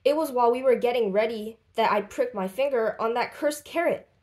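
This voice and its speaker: A woman's voice: a little high, a little fast, a little mellow, pitched above the performer's own voice, lightly acted. A woman voicing a kind, capable, cheerful girl in a children's storybook, explaining and reassuring.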